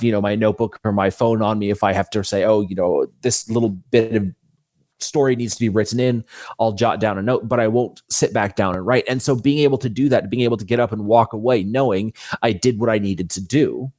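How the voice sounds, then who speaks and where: A man's voice: fairly low pitch, normal speed, darkish tone, no echo, clean studio sound. A man speaking as an interview guest, explaining things casually and thinking aloud as he goes.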